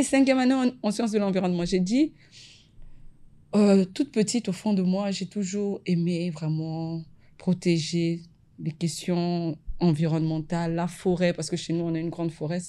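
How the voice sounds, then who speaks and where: A woman, an environmental lawyer from the Republic of the Congo, answering interview questions in a measured, casual way. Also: medium pitch, steady tone, darkish tone. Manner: measured, repeats "effectivement" often.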